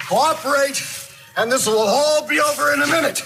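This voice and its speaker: An actor playing a nervous, placating manager in a film robbery scene. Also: male voice, very high voice, normal speed, vocal fry, pushed and bright, soft background music, exaggerated voice. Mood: anxious, placating. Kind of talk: film drama acting.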